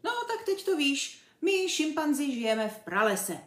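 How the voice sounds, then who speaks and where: A woman's voice: sits high, sing-song, pitched above the performer's own voice. A woman voicing a cheeky, boastful young male chimpanzee for a children's story, chatty and brash. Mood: boastful, teasing. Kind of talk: children's story character reading. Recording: roomy echo.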